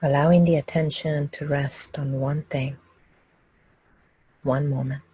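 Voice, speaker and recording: A woman meditation teacher guiding a group gently and calmly, speaking in short, unhurried phrases with long pauses. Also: deep voice, slightly rough, no echo, poor audio. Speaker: meditation teacher leading a live group session. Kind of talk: guided meditation, slow and gentle instruction.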